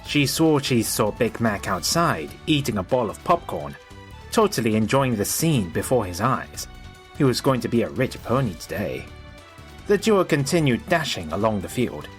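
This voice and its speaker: Animated male storybook narrator. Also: mid-range voice.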